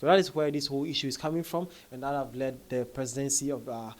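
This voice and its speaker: A man's voice: mid-range voice, fairly even intonation, fast. A man from Africa with an African accent gives casual news commentary, talking conversationally to viewers and often repeating his phrases.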